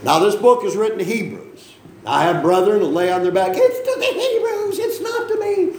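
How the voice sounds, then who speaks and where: High-voiced male preacher in an echoey church. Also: very animated, normal speed, darkish tone, a little hiss or hum. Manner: conversational, asks the congregation questions, joking asides. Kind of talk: sermon, Bible reading and teaching.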